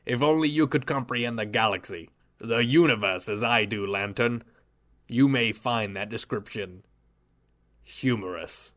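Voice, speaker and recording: A cold, gloating villain scientist who commands an android army, acted by a man. He speaks softly and slowly, savoring every threat in a smug, menacing drawl at an ordinary middle pitch. Audio drama. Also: lightly acted. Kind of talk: amateur audio drama acting.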